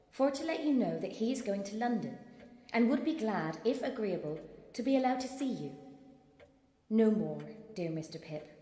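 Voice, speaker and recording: Earnest country girl in deep reverb. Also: warm tone. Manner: careful, simple, deferential. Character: earnest, humble country young woman reading out her letter. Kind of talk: radio play acting, letter voice-over. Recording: distant, long hall reverb.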